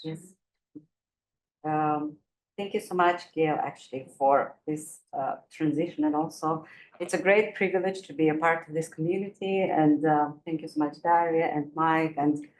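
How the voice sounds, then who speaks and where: A woman's voice: deep voice, steady tone, normal speed, a little mellow. A woman panelist giving an academic talk, hesitant with many fillers and light laughs. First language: not Kazakh; Kazakh is her second language. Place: university event room. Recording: echoey room with faint noise.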